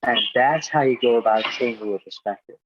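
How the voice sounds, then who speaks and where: A man's voice: medium pitch, brisk, background noise. Reflective man, dull lo-fi sound. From Hungary. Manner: reflective, repeats himself, many fillers. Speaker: guest speaker. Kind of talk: casual interview talk.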